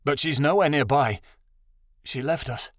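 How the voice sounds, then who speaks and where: A man voicing a wise, downhearted male dragon in a storybook, measured and muttering. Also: fairly high pitch, fairly bright tone, dry recording, studio quality. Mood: hurt.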